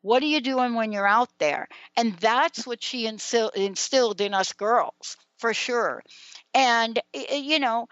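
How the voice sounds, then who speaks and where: Woman, radio co-host, reflective, chatty, slightly high voice, dry muffled sound. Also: measured pace, a bit bright, dry recording. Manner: reflective, conversational, with many "you know"s. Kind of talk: radio talk.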